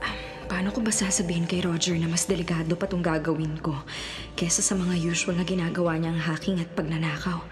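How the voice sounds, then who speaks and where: A woman's voice: on the low side, steady tone, a little fast, over music, close to an everyday voice. An actress playing a streetwise female con artist, earnest and wry, in a Filipino radio drama. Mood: hushed, worried.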